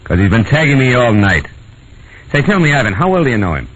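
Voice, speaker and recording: A man's voice: medium pitch, sing-song, quick pace. A man with an American accent playing a tough, world-weary private detective in a 1940s radio drama, brusque and wisecracking, both in scenes and narrating. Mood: probing. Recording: dull old radio recording with music.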